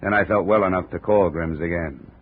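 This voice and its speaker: A hard-boiled insurance investigator, voiced by a man, narrating in short, tough sentences with a very low voice. It comes through a narrow, tinny old radio band with a light hiss. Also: brisk, close to an everyday voice. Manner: terse, tough. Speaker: lead actor and narrator. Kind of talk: 1950 radio drama narration.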